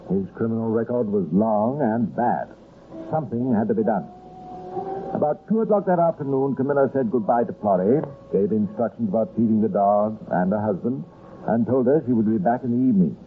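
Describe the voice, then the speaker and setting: A man narrating an old radio crime drama in a measured, wry and ominous manner. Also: on the low side, animated. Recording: tinny, noisy old radio recording.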